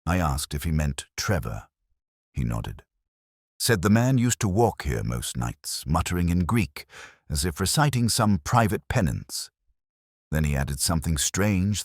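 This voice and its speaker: A man narrating a literary first-person detective drama as a melancholy, world-weary investigator, in a measured, brooding, solemn way. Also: low voice, big pitch swings, no room sound, clean studio sound.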